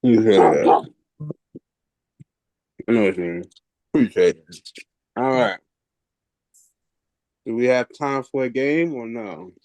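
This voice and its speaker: A man co-hosting a wrestling podcast in casual chat, with a smooth, laid-back way of talking. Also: medium pitch, slow pace, long pauses, a little mellow, no echo, poor audio. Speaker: podcast co-host, commentator.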